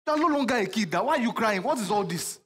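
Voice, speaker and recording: A high-pitched man, played by an actor, as a jailed man dreading his trial. He scolds and stammers with emphasis. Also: animated, normal speed, fairly bright tone. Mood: scolding. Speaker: prisoner awaiting trial. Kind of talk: comedy skit acting.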